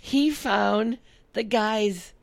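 A woman's voice: medium pitch, drawn-out words, vocal fry, dry recording. A woman co-hosting a casual comedic chat, telling stories in a playful, rambling way. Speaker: podcast co-host.

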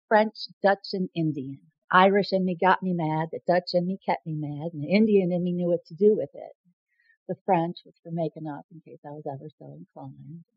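A woman's voice: fairly low pitch, no room sound. Rambling woman host, dull lo-fi sound. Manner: rambling with frequent restarts and repeated words. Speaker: host and self-described presidential candidate. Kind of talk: casual direct-to-camera monologue.

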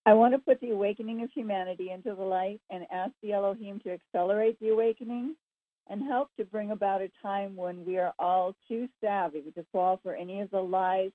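A woman's voice: mid-range voice, no room sound. A woman caller earnestly speaking a prayer-like intention in declarative phrases over a narrow phone line. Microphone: telephone.

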